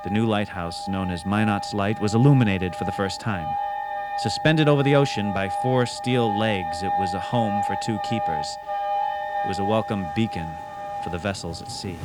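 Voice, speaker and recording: A man hosting a radio theatre show, delivering scripted, literary narration in a measured, dramatic way. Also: on the low side. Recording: cassette of radio show, music behind.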